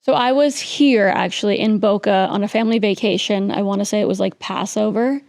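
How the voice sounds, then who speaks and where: A woman's voice: medium pitch, vocal fry, darkish tone, dry recording, studio quality. A young woman from New York City, a Russian native speaker, telling her story as an interview guest, chatty and full of "like" and "um". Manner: chatty, quick, peppered with "like" and "um".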